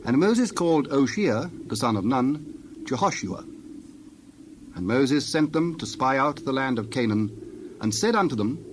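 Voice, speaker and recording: A man's voice: medium pitch, expressive, a little slow. Solemn male scripture narrator, mid-range voice. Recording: clear background noise with birds.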